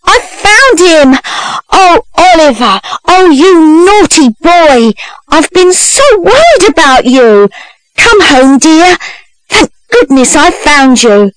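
Woman acting a high, theatrically plaintive young woman. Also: lively intonation, takes it slowly, no room sound, low-quality recording. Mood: gushing relief. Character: scheming young woman feigning tearful sisterly distress. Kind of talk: dramatised audiobook reading.

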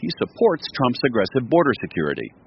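A man reporting for radio news, telling the story in a measured, scripted narration. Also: gravelly, breathy edge, muffled recording. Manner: measured storytelling delivery with lively pitch.

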